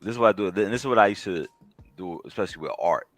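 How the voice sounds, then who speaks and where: A man's voice: lowish voice, expressive, rapid-fire, no room sound, faint music behind. A man chatting casually on a live stream about collectible statues, rambling and enthusiastic with lots of fillers. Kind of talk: casual chat.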